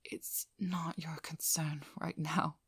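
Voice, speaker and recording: A woman playing a composed, guarded female vampire hunter in an ASMR role-play, breathy and often whispering, with controlled, firm delivery. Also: low-pitched, level tone, a bit bright, dry recording, full character voice. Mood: weary, dry. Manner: slow, soothing instructions, firm and controlled. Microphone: close ASMR microphone.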